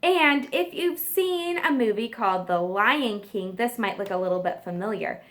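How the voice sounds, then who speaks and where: A woman teacher reading a nonfiction book aloud to children, stressing key words and pausing for simple questions and explanations. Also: mid-range voice, lively intonation, fairly bright tone.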